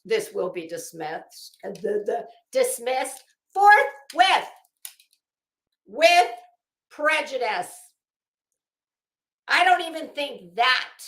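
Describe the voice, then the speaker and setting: A woman with a fairly high, sharp and forceful voice chats casually as a livestream host. She is blunt and sarcastic, salty-tongued and emphatic. The sound is roomy and echoing. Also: sing-song, very slow, long pauses. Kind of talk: casual livestream chat and commentary, greeting viewers. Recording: roomy, echoing home recording.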